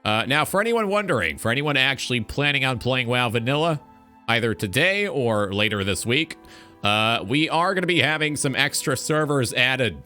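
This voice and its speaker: A man's voice: medium pitch, lively intonation, moderate pace, bright, forceful tone, faint music behind. A man hosting a live stream in a casual, informal talk show style, swearing freely.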